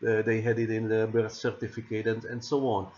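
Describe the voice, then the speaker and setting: Czech guy lecturing in english, lowish voice, lots of uh and repeated words, muffled lo-fi audio. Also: completely flat delivery, a little mellow, a little hiss or hum. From Czech Republic. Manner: halting, many repeated words and fillers. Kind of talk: recorded lecture with slides. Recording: dull lo-fi audio.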